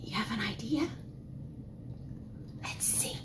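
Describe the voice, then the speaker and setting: A woman preschool teacher reading a picture book aloud to young children in an exaggerated, expressive way, asking questions as she goes. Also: on the high side, slow. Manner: gasps and drops to whispers for suspense. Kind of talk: animated children's storytime read-aloud.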